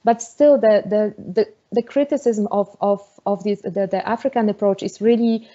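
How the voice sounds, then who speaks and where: A woman from Poland with a Polish accent, giving an online lecture over a video call, often pausing with fillers and restarts. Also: medium pitch, fairly even intonation, mellow, dull audio. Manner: hesitant, many fillers and restarts. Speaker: webinar speaker.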